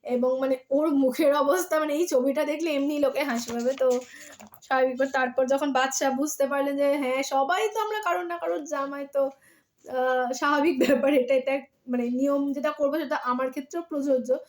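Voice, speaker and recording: A woman's voice: high voice, steady tone, brisk, darkish tone. A woman storyteller. She speaks expressively, as if reading from a storybook, and chats casually with her audience. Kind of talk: expressive storybook narration and casual chat to viewers. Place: at home.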